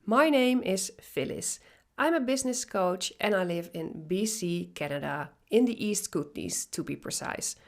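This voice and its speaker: A woman's voice: mid-range voice, expressive, moderate pace, vocal fry. A woman from the Netherlands with a Dutch accent, a business coach introducing herself on her podcast in an earnest, determined way. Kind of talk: solo podcast monologue.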